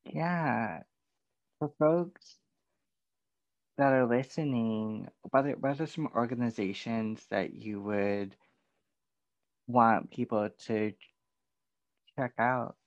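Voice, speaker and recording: A man hosts the conversation and asks questions slowly and thoughtfully, with soft pauses. His audio is dry and a bit dull, with no room sound. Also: medium pitch, no room sound. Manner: thoughtful, with gentle filler pauses. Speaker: podcast host. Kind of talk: interview questions.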